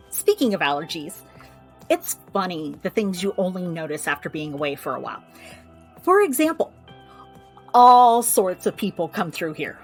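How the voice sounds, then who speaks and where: An actress playing a chatty, wry young American woman who runs a magical family clinic, in an audio drama. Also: mid-range voice. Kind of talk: audio drama, recording a personal log.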